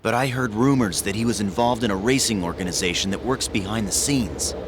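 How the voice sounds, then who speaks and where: A man playing an excitable, exasperated racing team member in a video game, talking emphatically. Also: fairly low pitch, a little fast, natural voice. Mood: eager. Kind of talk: video game cutscene acting. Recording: loud vehicle background noise.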